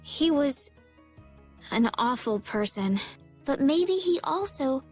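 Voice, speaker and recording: Earnest, very high-voiced heroine. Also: female voice, expressive, takes it slowly. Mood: pained pleading. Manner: earnest, emotive. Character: earnest, tender-hearted young heroine. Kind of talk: video game voice acting. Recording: music under the dialogue.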